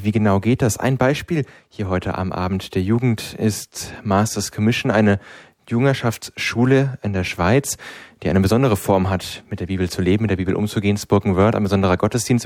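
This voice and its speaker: Radio guy hosting a youth show, lowish voice, clear steady delivery, dry studio sound. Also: no room sound. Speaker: radio host. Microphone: studio microphone.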